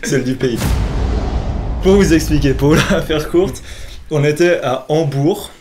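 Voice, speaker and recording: A man's voice: on the high side, unhurried, noisy background. Young man telling a laughing, slangy anecdote. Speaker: podcast co-host. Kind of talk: casual anecdote storytelling between friends.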